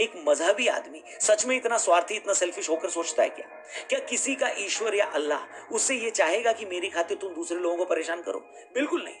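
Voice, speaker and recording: A man delivers a scripted explainer in a high voice. He speaks emphatically, posing rhetorical questions directly to his viewers, over faint music. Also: a bit bright. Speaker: video commentary presenter.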